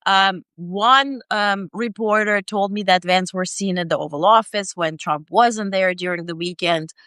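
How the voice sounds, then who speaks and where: A middle-aged woman from Ukraine, an independent journalist interviewed on a video call, talking in a halting way with many fillers. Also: mid-range voice, fairly bright tone, smooth, no echo. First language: Ukrainian or Russian. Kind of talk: interview conversation.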